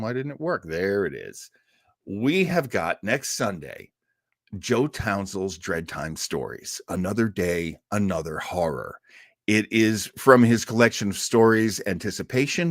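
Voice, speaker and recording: American man, live show host, animated pitch, casual, jokey, rambling asides, dry close sound. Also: no echo. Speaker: live stream host of an old-time radio show. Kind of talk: casual live chat with viewers.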